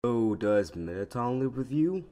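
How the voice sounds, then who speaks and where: A man's voice: mid-range voice, big pitch swings, measured pace, dark tone. A man casually reading out fan questions for a comic dub.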